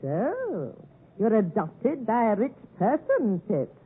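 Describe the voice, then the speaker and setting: An actress playing an aloof, brittle elderly lady in a 1950s radio drama, speaking slowly and deliberately. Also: a little high, huge pitch swings, breathy edge, exaggerated voice. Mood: aloof, measured. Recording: vintage radio, band-limited and muffled.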